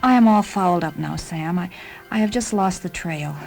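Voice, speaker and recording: A woman voicing the obedient, composed mind of an intelligent car in a science fiction radio drama, measured and formal. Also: lowish voice, vocal fry, rough recording. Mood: uncertain. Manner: measured, formal, calmly reporting. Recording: music behind the voice.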